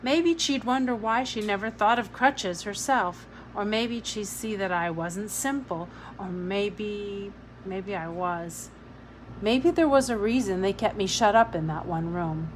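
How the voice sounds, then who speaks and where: A woman narrating a novel aloud in an expressive storybook reading style. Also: mid-range voice, a little slow, slight background noise, poor audio.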